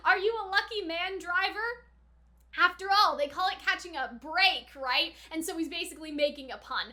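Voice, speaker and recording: A woman's voice: extremely high pitch, normal speed, pushed and bright. A woman giving casual reaction commentary as a host, thinking aloud informally with lots of "like" and "right?". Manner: informal, speculative, frequent "like" and "right?".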